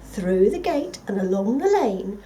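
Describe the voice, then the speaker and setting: A woman's voice: mid-range voice, very animated, unhurried, mellow, a little hiss or hum. Woman voicing a sly, coaxing storybook fox. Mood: falsely kind. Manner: coaxing, falsely innocent. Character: sly, smooth-talking male fox, falsely helpful schemer.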